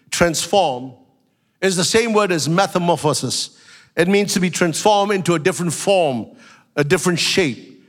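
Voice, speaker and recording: Male pastor, high-pitched, slightly nasal with a cold; sermon delivery with shouted, slowed emphasis. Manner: preaching, rising to shouts and slowing for emphasis. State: has a flu.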